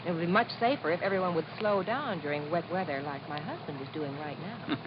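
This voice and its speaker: A woman's voice: lowish voice, expressive, a little fast, lightly acted. An actress playing a chatty policeman's wife in an old radio safety sketch, earnest and cheerfully instructive. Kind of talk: 1950s radio public service sketch. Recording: muffled old radio, loud noise behind.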